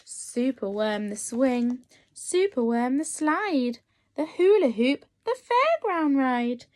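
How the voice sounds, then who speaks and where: A woman reading a picture book aloud as a nursery teacher, expressive and encouraging as she addresses young children. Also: high-pitched, takes it slowly. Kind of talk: animated children's storytime reading.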